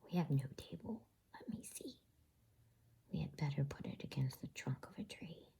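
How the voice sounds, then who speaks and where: Woman whispering as an imperious, breathy witch queen. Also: deep voice, animated, normal speed, mellow, full character voice. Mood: coldly deliberate. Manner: commanding, cold. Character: imperious, cruel witch queen commanding monstrous servants. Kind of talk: whispered storybook reading.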